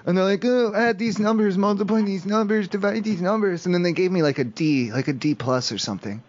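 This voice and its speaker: A man making a prank call, rambling haltingly as a naive, stoned-sounding caller. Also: high voice, a little mellow. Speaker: prank caller, show host. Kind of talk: improvised prank phone call. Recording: muffled, dull lo-fi call audio.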